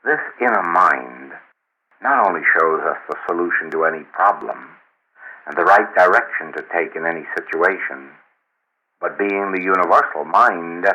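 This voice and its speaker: A middle-aged or older man reading a spiritual teaching aloud in a solemn, measured, deliberate oratorical way. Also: sits low, pushed and bright, lo-fi sound. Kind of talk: read-aloud metaphysical teaching.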